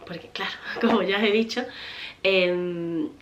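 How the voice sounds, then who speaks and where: A woman's voice: medium pitch, fairly even intonation, unhurried, muffled recording, slight background noise. A woman from Spain in her thirties with a Spanish accent, chatting casually to her viewers and often laughing. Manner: chatty, rambling, many fillers and restarts, laughs often. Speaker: vlogger, mother. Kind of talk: casual chat answering viewer questions.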